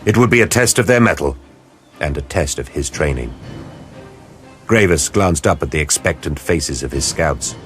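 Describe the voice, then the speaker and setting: A man narrating an audio drama in a deep, husky voice with grave, deliberate storytelling. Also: lively intonation, fairly bright tone, faint music behind.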